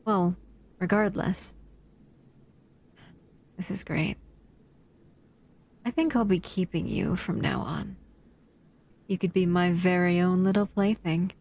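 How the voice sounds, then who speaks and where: A woman voicing a powerful shadow monster who is possessive yet tenderly protective, speaking softly and hesitantly in an intimate roleplay addressed to the listener, often near a whisper. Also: on the low side. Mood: intrigued, possessive. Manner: soft, soothing, hesitant, often dropping into whispers.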